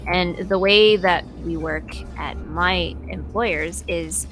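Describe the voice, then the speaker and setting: A woman's voice: fairly low pitch, slow, fairly bright tone. Woman chatting casually over music. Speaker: interview guest, voice actor. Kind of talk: casual interview chat while playing a video game. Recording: music playing behind the voice.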